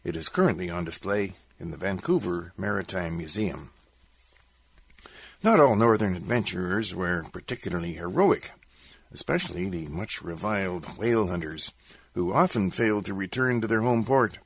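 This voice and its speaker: A man presenting on radio, reading scripted narration in a gravelly, husky voice. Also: low-pitched, expressive, moderate pace, no echo. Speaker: radio host presenting folk music.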